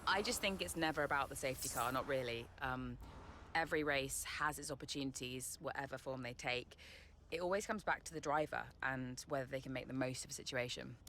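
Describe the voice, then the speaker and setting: An actress playing a young racing driver in a video game cutscene, a frank and defiant daughter. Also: female voice, a bass voice, a little fast, fairly bright tone, a little hiss or hum. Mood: measured, guarded. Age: young adult.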